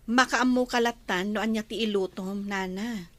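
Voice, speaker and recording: A woman playing a brisk lady doctor and household employer in an Ilocano radio drama, with faint background noise. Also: medium pitch, fairly even intonation, a bit bright, no room sound, lightly acted. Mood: easygoing, brisk.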